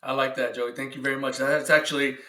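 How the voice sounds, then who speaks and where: A man's voice: mid-range voice, little pitch movement, fast talker, sharp tone. A man hosting a podcast in a casual, informal and appreciative way. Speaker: podcast host, father. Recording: roomy, echoing sound.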